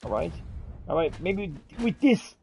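A man giving expressive, exclamatory in-character gameplay commentary, thinking aloud as he roleplays. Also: high voice, a little slow, vocal fry, slight background noise. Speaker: gamer roleplaying as a character in a playthrough.

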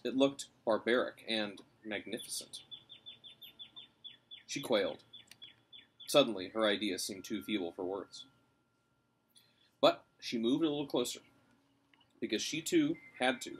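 A man in his thirties reading a story aloud on a porch, recorded by a camera a little way off. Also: mid-range voice. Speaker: reader of a children's novel. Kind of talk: read-aloud storytelling with brief asides. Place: outdoors on a covered porch. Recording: clear outdoor ambient noise.

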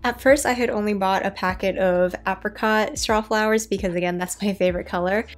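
A woman's voice: medium pitch, fairly even intonation, brisk. Female gardening vlogger, mid-range voice, informal and chatty narration with music underneath. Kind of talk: casual narrated garden tour. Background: background music.

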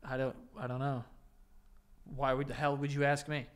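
A man's voice: medium pitch, flat delivery, moderate pace, clean tone. A man in his thirties co-hosting a casual comedy podcast, bluntly teasing, recorded close on a studio mic. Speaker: podcast co-host. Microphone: close desk-mounted studio microphone.

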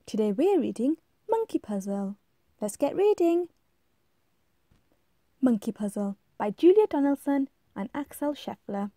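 Lady hosting kids bedtime story readings, high mellow voice, takes it slow. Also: big pitch swings, no echo, studio quality. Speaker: channel host and storybook reader. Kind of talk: children's bedtime story read-aloud.

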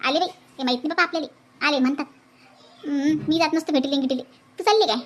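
A woman's voice: sits high, fast, lightly acted. A woman voicing a jealous, boastful middle-aged village aunt in a comic cartoon, with a Varhadi Marathi accent and a nosy, scornful manner. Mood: scornful, spiteful. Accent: Varhadi Marathi from Vidarbha. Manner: nosy, scornful, muttering to herself.

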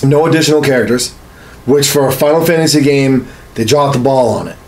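A man's voice: medium pitch, a little fast. A man in his thirties chatting casually about games as a podcast co-host, with a crude, joking, profane manner. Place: small home studio room.

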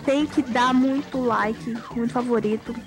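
A woman's voice: fairly high pitch, steady tone, measured pace. A young woman joining a gameplay video as a guest, chatting in a playful way and speaking straight to the viewers. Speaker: guest co-player. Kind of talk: casual chat over gameplay. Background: video game music.

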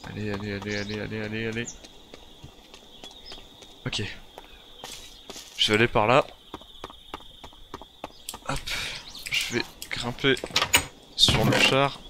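Slow-paced man narrating gameplay over heavy noise. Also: on the low side, fairly even intonation. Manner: muttering to himself in short, repeated phrases. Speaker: gaming video creator playing a survivor. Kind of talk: improvised first-person roleplay gameplay commentary. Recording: very noisy home recording, game sounds behind.

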